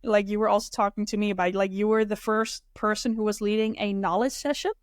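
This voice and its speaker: A young woman hosting a casual podcast conversation, talking haltingly and restarting words. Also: mid-range voice, steady tone, no echo, clean studio sound. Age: young adult. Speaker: podcast host.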